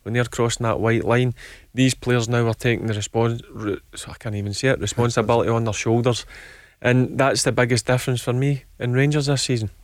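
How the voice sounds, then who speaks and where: Man, radio football pundit, steady mid voice, says it straight, dry sound. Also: mid-range voice, fairly quick, no echo. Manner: steady, plain-spoken, repeats key points. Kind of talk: studio football discussion.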